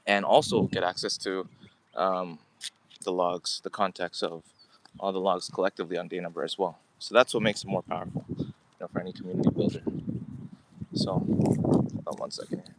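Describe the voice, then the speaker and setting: A man's voice: sits low, steady tone, normal speed, long pauses, rough recording. A man from Canada, chatting casually to viewers on a phone livestream while walking his dog outdoors, full of fillers. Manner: rambling, many fillers, chats with viewers. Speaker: livestream host. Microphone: phone camera held while walking. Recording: loud wind noise outdoors.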